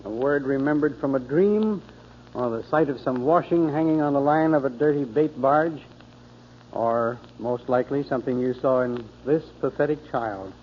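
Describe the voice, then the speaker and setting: A dull, slightly noisy vintage radio recording carries a man acting a philosophical friend. He speaks at a middling pitch in measured, literate phrases, gentle and perceptive. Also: lightly acted. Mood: reflective. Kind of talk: 1940s radio drama acting. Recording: muffled, faintly noisy 1940s radio broadcast.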